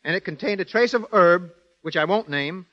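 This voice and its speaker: A man's voice: high-pitched, normal speed, pushed and bright. A man speaking as a guest detective in a prepared, precise, explanatory 1930s radio style. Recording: dull, lo-fi 1930s radio recording.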